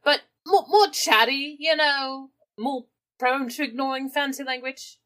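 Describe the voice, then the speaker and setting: A woman's voice: high-pitched, a little slow, fairly bright tone. A woman playing a tabletop game in casual improvised role-play.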